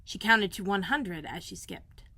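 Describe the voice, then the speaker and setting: A woman librarian reading a children's book aloud in a gentle, clear storytelling manner. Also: mid-range voice, very animated, a little slow, no room sound. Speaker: school librarian.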